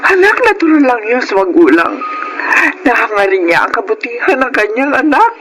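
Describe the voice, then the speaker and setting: A woman's voice: fairly high pitch, sing-song, moderate pace, background noise. A woman acting a worried, well-meaning middle-aged mother in a Filipino radio drama, earnest and moralizing.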